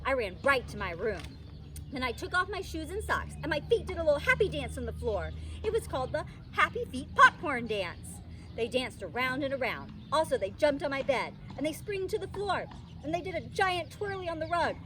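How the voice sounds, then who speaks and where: High-pitched, lively woman reading a story. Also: normal speed. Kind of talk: animated children's story narration. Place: outdoors in a park. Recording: noisy outdoor recording.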